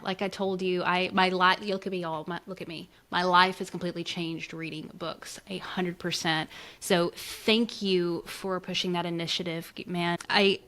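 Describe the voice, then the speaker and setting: A woman's voice: on the low side, flat delivery, normal speed, few pauses, a bit bright. A woman hosting a podcast interview in a casual, effusive, informal way. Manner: effusive, informal, peppered with slang.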